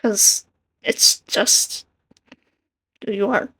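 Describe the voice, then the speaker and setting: A soft-spoken young woman with a quiet voice, giving earnest casual commentary and laughing between thoughts. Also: medium pitch, steady tone, very slow, slightly rough. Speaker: art commentary video maker. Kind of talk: casual commentary over a speedpaint.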